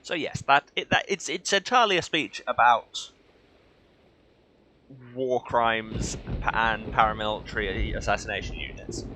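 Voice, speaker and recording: A man's voice: mid-range voice, measured pace, bright, forceful tone, a little hiss or hum. A man giving casual, wry, conversational commentary as host of a video game playthrough. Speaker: let's play host.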